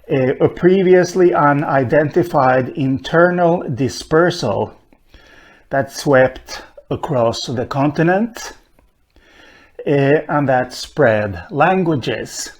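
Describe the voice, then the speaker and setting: A middle-aged man from Sweden, a linguist lecturing at a whiteboard in a room with bookshelves, speaking in a measured way with frequent pauses. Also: medium pitch, a little mellow. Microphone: camera at medium distance.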